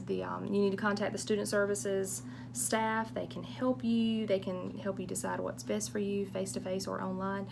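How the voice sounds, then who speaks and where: A middle-aged woman with a strong Southern drawl talks students through a course Q&A, friendly and reassuring, with small chatty asides. Her voice has a gravelly edge. A steady hum and noise sit under the recording. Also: medium pitch. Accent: Southern US, eastern North Carolina. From eastern North Carolina. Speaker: college public speaking instructor.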